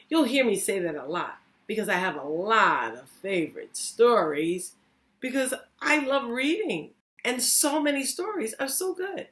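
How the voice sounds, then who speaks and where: Slow, expressive woman reading a storybook. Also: medium pitch. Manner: expressive, deliberate phrasing. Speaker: storytime host, reading teacher. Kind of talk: children's storybook read-aloud.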